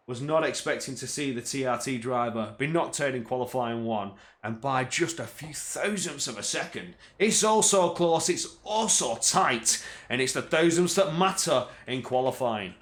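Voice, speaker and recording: Energetic man calling a race, echoey room. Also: moderate pace. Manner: energetic play-by-play that climbs in pitch at tense moments; chatty asides during quiet spells. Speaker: race commentator. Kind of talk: solo live-stream sim racing commentary.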